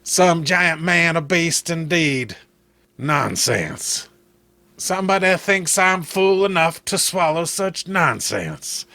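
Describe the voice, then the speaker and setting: A man acts out a skeptical country sheriff from a horror comic, folksy and blustering. His voice is slow, gravelly and forceful, full of stubborn determination. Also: sits high, no echo, exaggerated voice.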